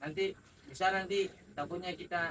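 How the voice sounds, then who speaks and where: A man's voice: sits high, fairly even intonation, fairly quick, crowd noise. A young man from South Sulawesi answering interview questions as an athlete. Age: young adult. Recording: distant mic, clear background noise.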